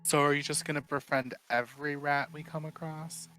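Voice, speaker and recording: A woman's voice: deep voice, steady tone, fairly quick, a bit bright. A woman chatting casually as a player in a tabletop roleplaying game. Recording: clearly noisy microphone with clicks.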